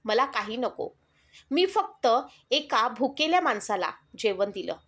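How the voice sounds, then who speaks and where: A woman voicing a humble, kind young tea seller in a story, speaking gently and earnestly. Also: a little high, big pitch swings, unhurried, husky, exaggerated voice.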